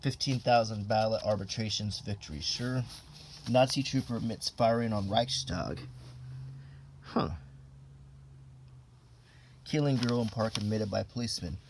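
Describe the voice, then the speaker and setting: A man reading an old printed text aloud in an ASMR style, unhurried and low-key, with casual offhand asides. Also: slight background noise.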